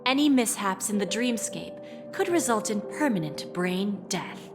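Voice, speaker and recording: A woman playing a shrewd, composed corporate negotiator in a video game, speaking with deliberate, assured phrasing and quiet menace. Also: mid-range voice, expressive, over music, close to an everyday voice. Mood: coolly threatening. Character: cool, shrewd female corporate negotiator, calmly threatening. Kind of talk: dramatic video game voice acting.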